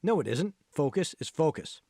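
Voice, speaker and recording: A man's voice: a little high, big pitch swings, normal speed, dark tone, breathy edge, no echo, clean studio sound, voice pushed up, exaggerated voice. A man voicing a patient male fitness mentor in an audiobook, coaxing and instructing with emphasis. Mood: insistent.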